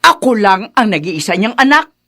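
A woman's voice: medium pitch, sing-song, normal speed, sharp tone, heavily acted. A woman playing a greedy, haughty middle-aged heiress in a Filipino radio drama, imperious and confrontational, with a Filipino accent. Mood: haughty, demanding.

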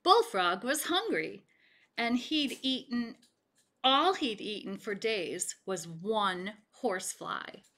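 Bright-voiced woman reading a picture book. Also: medium pitch. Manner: expressive, deliberate pauses for the listener. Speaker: read-aloud storyteller. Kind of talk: children's picture book read-aloud, explanatory. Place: home kitchen, indoors.

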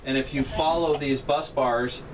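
A man's voice: mid-range voice, fairly even intonation, measured pace, a crowd in the background. Middle-aged man explaining gear, distant mic. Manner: plain-spoken, technical, gestures at the equipment. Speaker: transmitter technician. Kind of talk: explaining equipment on a guided tour. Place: inside a metal equipment shelter, small group standing close. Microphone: camera held by a visitor a few feet away. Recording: distant camera mic with background hum.

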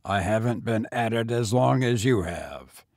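A man voicing a weary, bitter gentleman tramp in a story, speaking in a grim, resigned, subdued manner. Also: mid-range voice, expressive, a little slow, no echo, clean studio sound.

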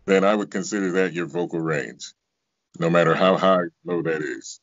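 A middle-aged American man with a very deep bass voice, chatting casually as an interview guest on a video call. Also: steady tone, moderate pace, dry recording. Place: small room at home, indoors. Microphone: video call, close to device. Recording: dull lo-fi video call audio.

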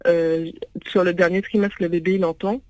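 A woman's voice: lowish voice, fairly even intonation, normal speed, a little mellow, dry recording. A woman whose first language is English, speaking as a guest over the phone and hesitating with lots of fillers. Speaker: guest, founder of a parents' venue. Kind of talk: phone interview. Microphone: phone call.

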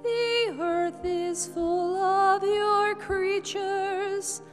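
A woman cantor singing a psalm at a church lectern with slow, sustained liturgical phrasing. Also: extremely high pitch, little pitch movement. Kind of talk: sung responsorial psalm. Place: large church, indoors. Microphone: lectern microphone. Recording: long church reverb, loud organ.

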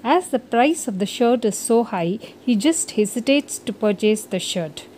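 A woman's voice: a little high, animated, a little slow, darkish tone, slight background noise. A woman reading a scripted moral story for children as its narrator.

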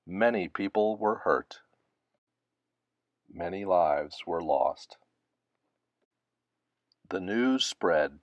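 Guy reading a kids book out loud, unhurried, really up and down, close dry sound. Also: sits low, dry recording, lo-fi sound. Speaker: storybook reader. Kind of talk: children's picture book read-aloud.

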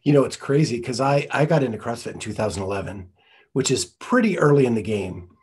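Husky guy hosting a podcast, excited and friendly, talking into a studio mic. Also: medium pitch, brisk. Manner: enthusiastic, friendly questions. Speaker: podcast host. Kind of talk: casual interview. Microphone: studio mic in front.

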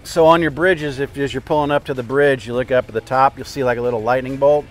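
A man's voice: medium pitch, steady tone, quick pace, faint music behind. An older man, a boat captain, giving casual instructional boating tips outdoors on a lapel mic. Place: outdoors at a marina by a parking lot. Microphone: clip-on lapel mic.

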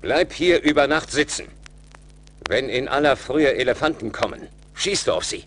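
A rich, greedy slave master voiced by a male actor gives curt, commanding orders in an audio play. His voice sits at a middle pitch. It comes from an old vinyl record with faint noise. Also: animated, normal speed, a bit bright, natural voice.

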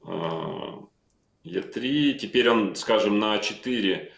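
A middle-aged man talks from a room at home over a video call, his voice lowish and the sound roomy. He goes through chess moves calmly and reflectively, thinking aloud with frequent fillers. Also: takes it slowly, creaky. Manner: calm, reflective, calling out moves with many fillers. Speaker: chess player recalling his own game. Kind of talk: analytical interview talk. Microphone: video call microphone.

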